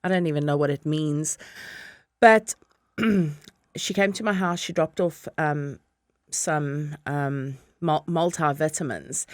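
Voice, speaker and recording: Here is a South African woman sitting in as a podcast guest. She talks in a lowish voice, chatty and often laughing, and she acts out what she once thought. The recording is dry and close. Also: slow pace, no room sound. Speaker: podcast guest, business owner. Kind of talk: casual interview chat.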